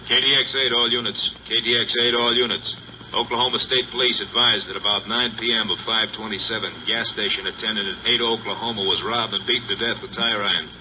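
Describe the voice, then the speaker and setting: A man's voice: lowish voice, steady tone, a little fast, lightly acted. A man acting a terse police radio dispatcher in an old-time radio drama, reading a bulletin in a clipped, formal way. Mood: official. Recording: dull, noisy old radio recording.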